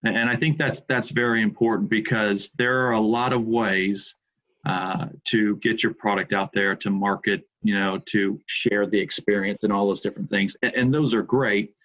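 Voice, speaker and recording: A man's voice: lowish voice, steady tone, moderate pace, a bit bright, muffled recording. A middle-aged American man from the Midwest, a podcast guest chatting casually and repeating words as he starts his thoughts. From Indianapolis, USA. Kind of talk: casual interview chat.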